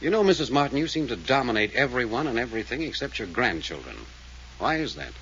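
Casting: cool, clipped male investigator, lively intonation, quick delivery, 1940s radio play with vintage hiss. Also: mid-range voice. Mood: dry, challenging. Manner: clipped, probing, dry. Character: brisk, unflappable male private detective. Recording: scratchy old-time radio broadcast, hissy, muffled.

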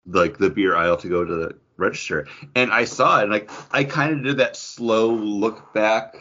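A man's voice: fairly low pitch, normal speed, bright, forceful tone. A man co-hosting a podcast in casual chat with friends, telling little anecdotes and quoting remembered exchanges. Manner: anecdotal, acts out remembered dialogue. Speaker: podcast co-host. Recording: dull, band-limited audio.

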